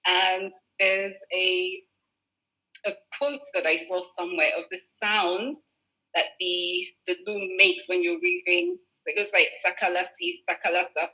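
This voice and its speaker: A woman's voice: lowish voice, animated, measured pace, bright, forceful tone, poor audio. A woman fashion designer, a guest in a video-call interview, explaining her work thoughtfully with restarts and fillers. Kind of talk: relaxed conversational interview.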